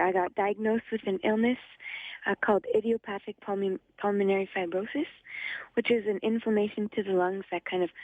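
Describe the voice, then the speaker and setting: A young woman from Ottawa with a slightly raspy voice, an upbeat guest calling in to a radio show and laughing often. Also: mid-range voice, dry recording. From Ottawa, Canada. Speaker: phone-in guest. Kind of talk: casual radio interview. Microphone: phone line. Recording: tinny dry phone line.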